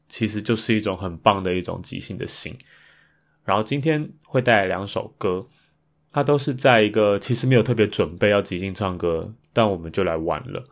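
Male podcast host, low-pitched, Taiwanese Mandarin accent, casual solo chat.

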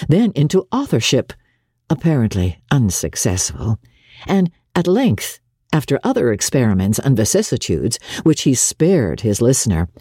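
A woman reading a classic novel aloud as dramatic narration, with measured, expressive storytelling. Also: sits low, husky, dry recording, clean studio sound. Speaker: audiobook reader.

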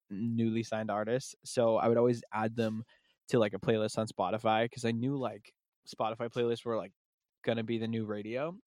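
A man's voice: mid-range voice, dry recording, studio quality. A young man, host of a podcast, telling a story in a rambling way and laughing often. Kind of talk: casual podcast chat.

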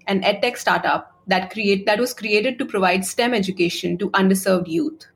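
A young woman speaks as a podcast co-host with a voice of average female pitch. She delivers prepared introductions in a read-aloud style and puts interview questions to her guest.

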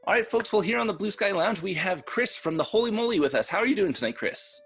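A man's voice: sits high, expressive, quick pace, creaky, a bit bright, faint music behind. A man with a Canadian accent, speaking as the host of a podcast. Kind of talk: scripted intro and podcast interview.

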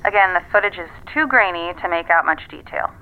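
A woman's voice: fairly low pitch, animated, fairly quick, a little hiss or hum. A woman acting in an audio drama as a sardonic, self-assured investigator relaying findings matter-of-factly over a phone line. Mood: cautioning. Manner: matter-of-fact, dryly wry. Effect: telephone filter. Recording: tinny phone line, music underneath.

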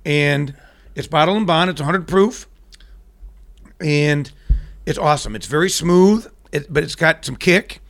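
A man's voice: fairly high pitch, a little slow, long pauses, fairly bright tone. A man chatting casually as a podcast guest, explaining things in a matter-of-fact way. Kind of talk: casual chat, explaining bourbon.

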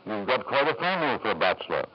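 A muffled old radio drama recording features a self-justifying, titled father. He is urbane and coaxing, pressing his points with lively rises and falls in pitch. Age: middle-aged. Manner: urbane, insistent, repeats questions for emphasis. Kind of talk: 1950s radio drama acting. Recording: dull vintage radio recording.

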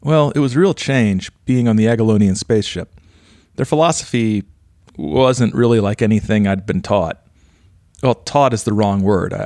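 A man's voice: fairly low pitch, darkish tone, no echo, clean studio sound, natural voice. A man playing an earnest, mild-mannered former cult guru in an audio drama, speaking sincerely and a little hesitantly.

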